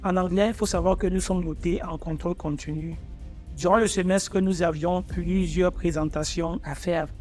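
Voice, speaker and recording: A man's voice: high voice, steady tone, normal speed, darkish tone, faint music behind. A man in his twenties from Africa, a native English speaker, talking informally to viewers in a personal student vlog. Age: 20s. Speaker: architecture student presenting his own channel.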